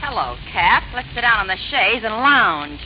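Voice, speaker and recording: A man's voice: high-pitched, big pitch swings, normal speed. A man playing a brassy, blunt, slangy middle-aged woman in a 1940s radio comedy. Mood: brash. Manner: brash, slangy, flirtatious. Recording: muffled, noisy old radio recording.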